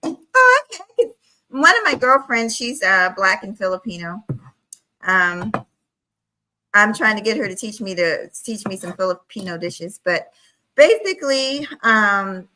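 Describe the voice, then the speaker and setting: Bright-voiced woman hosting a stream. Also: mid-range voice, expressive, measured pace. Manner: earnest, explaining her views. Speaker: stream host. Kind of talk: casual live-stream panel chat.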